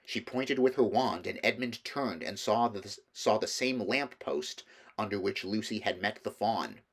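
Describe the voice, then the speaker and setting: A man's voice: lowish voice, unhurried. A man reading a children's storybook aloud as a narrator. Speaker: amateur audiobook reader.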